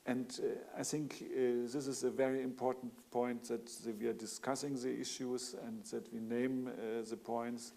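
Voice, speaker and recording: A German-accented man on a discussion panel, his speech halting and full of restarts and filler sounds. His voice is gravelly and mellow. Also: mid-range voice, flat delivery. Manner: hesitant, many fillers and restarts.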